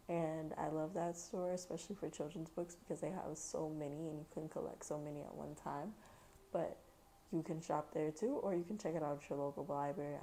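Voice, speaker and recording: A woman's voice: deep voice, vocal fry, mellow, slight background noise, low-quality recording. A woman hosting a children's book read-aloud, mixing storybook narration with casual commentary. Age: adult mother.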